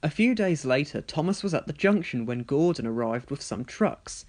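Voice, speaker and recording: A man's voice: a little high, lively intonation, normal speed. A man reading a scripted children's story as the storyteller.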